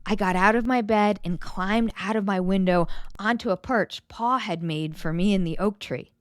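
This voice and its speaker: A woman narrating a children's picture book in an expressive read-aloud storytelling style. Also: medium pitch, normal speed, no room sound.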